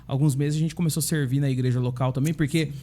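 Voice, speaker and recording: A man, a pastor with a Brazilian accent, telling stories in a relaxed conversation and often checking in with tag questions. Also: mid-range voice, quick pace. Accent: Brazilian Portuguese. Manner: chatty storyteller, frequent tag questions. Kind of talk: casual podcast conversation.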